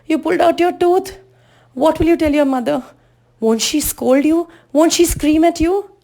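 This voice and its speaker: A woman voicing a young male storybook tortoise, excitable and puzzled, exclaiming and asking questions. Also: mid-range voice, a little fast. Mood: shocked, alarmed.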